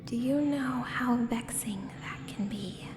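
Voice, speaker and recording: A woman playing a menacing, coolly seductive contract killer in an ASMR audio roleplay, speaking in a hushed, deliberate, breathy voice with pointed pauses. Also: fairly high pitch, over music. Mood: silky menace. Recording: roomy long reverb, clear background noise.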